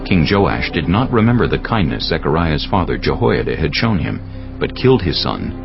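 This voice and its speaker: A man with a gravelly voice giving measured, solemn narration for a dramatized audio Bible. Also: a bass voice, big pitch swings. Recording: dull audio with soft music behind. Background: soft background music.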